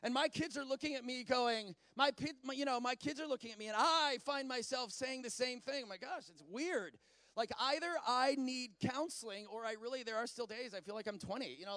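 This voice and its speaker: A man in his forties preaching a sermon, reading scripture and breaking into animated, conversational asides. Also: very high voice, fairly quick, no room sound. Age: 40s. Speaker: pastor.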